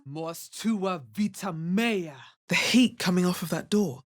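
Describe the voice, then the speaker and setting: A woman playing a wary, wry female adventurer in a fantasy video game, with a bassy, husky voice and a measured, understated delivery. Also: lightly acted. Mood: incanting, forceful. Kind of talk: video game character voice lines.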